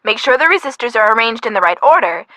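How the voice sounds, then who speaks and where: A woman's voice: fairly high pitch, lively intonation, quick pace, bright, forceful tone, no echo. An actress playing a sensible young woman, a detective's practical best friend in a video game, speaking in a matter-of-fact, encouraging way. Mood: brisk. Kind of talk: scripted video game hint dialogue.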